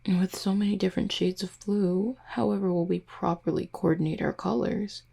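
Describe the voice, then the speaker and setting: A woman voicing a flirty, composed female werewolf second-in-command in an audio roleplay, teasing and measured, with a low, husky voice. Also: fairly even intonation, dark tone. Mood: playful, teasing. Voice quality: low, husky, breathy with some creak. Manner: teasing, measured, deliberate wording. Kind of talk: roleplay audio drama, intimate one-sided dialogue.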